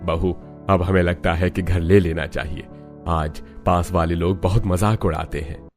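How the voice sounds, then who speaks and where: A man's voice: low-pitched, fairly even intonation, unhurried, a little mellow, soft background music. A man voicing a kindly older father-in-law in a cartoon, speaking plainly and affectionately. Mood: earnest.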